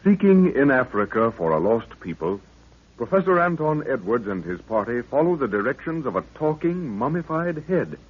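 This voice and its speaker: Announcer: 1930s radio serial narrator, ominous, deliberate, rough-edged voice, thin phone-like vintage sound. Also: male voice, medium pitch. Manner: dramatic, ominous, measured storytelling. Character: solemn storyteller of an African jungle mystery serial. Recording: tinny, muffled 1930s radio recording.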